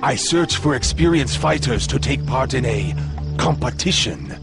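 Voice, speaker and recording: A male actor playing a sly, villainous sorcerer in a fighting game, formal and menacing, with a gravelly voice. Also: mid-range voice, very animated, moderate pace, loud music behind the voice. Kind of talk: fighting game cutscene acting.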